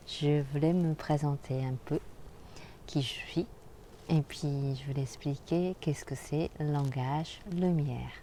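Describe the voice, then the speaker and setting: A woman hosting a spiritual channel, talking casually and directly to the viewer in a soft, halting way with frequent hesitations. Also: deep voice, slight background noise. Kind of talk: casual spoken vlog monologue.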